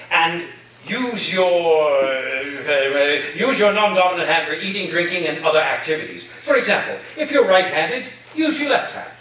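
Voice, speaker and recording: A man in a staged play reading, playing an eccentric philosophy professor with a halting, whimsical, theatrical delivery. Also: high-pitched. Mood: instructive, scattered. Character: eccentric male philosophy professor full of wild conspiracy theories. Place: reverberant room, staged reading before listeners. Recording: distant echoey room recording, faint noise.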